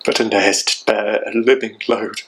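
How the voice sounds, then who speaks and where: A man's voice: fairly low pitch, fairly even intonation, normal speed, a bit bright, natural voice. A man playing a grief-stricken, vengeful young warrior in a Shakespeare monologue, declaiming with anguished intensity. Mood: cold, vengeful.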